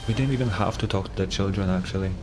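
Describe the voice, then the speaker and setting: A man's voice: sits low, fast talker, noisy background. A man streaming a game, giving casual, offhand commentary in short muttered reactions.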